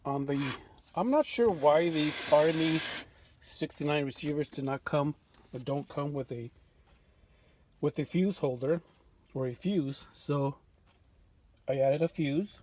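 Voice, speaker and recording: A man giving an unscripted show-and-tell of his restoration work in a home workshop, relaxed and full of fillers and restarts. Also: a little high, a little slow, long pauses. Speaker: vintage radio restorer.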